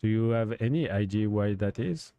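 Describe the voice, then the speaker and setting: A man hosting a livestream, talking in a hesitant, halting way with many fillers. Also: lowish voice, dark tone, dry recording. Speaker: host testing a chatbot. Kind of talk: casual livestream talk.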